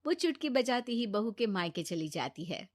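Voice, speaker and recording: A woman's voice: medium pitch, big pitch swings, moderate pace, no room sound, clean studio sound. A woman narrator reading a scripted cartoon bedtime story.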